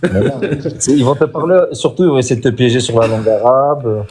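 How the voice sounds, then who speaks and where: A man as a guest on a live online talk, speaking in a calm, supportive and measured way. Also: medium pitch, a little hiss or hum. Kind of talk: casual live chat.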